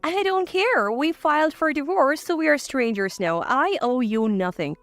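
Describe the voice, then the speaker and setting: A woman's voice: high voice, expressive, normal speed, fairly bright tone, no room sound, soft background music. A woman voicing a cool-headed, defiant wife in a dramatized story, assertive and cutting. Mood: cool, scornful.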